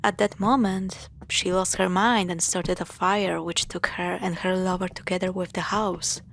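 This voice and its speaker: A woman playing a teasing, affectionate young girlfriend in an intimate scripted audio roleplay, with sarcastic banter and hushed asides. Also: fairly low pitch, steady tone, normal speed, creaky. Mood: hushed storytelling.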